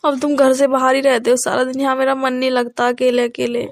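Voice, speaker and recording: A very high, closely recorded female voice with little room sound. A woman plays a young wife who is resentful and full of reproach, nagging in everyday conversational Hindi-film-free domestic drama. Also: flat delivery, fairly quick, dry recording. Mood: aggrieved. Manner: complaining, reproachful. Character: resentful young eloped wife, nagging her jobless husband. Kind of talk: improvised-sounding domestic dialogue in an audio story.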